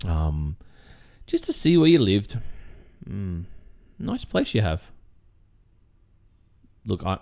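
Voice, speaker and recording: An Australian man playing a sweetly possessive, delusional kidnapper boyfriend in an intimate ASMR roleplay, speaking haltingly with hesitant murmurs. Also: sits low, darkish tone, full character voice. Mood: sheepish. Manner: halting, drawn-out phrasing with hesitant murmurs and nervous chuckles. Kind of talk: ASMR roleplay, intimate monologue addressed to listener.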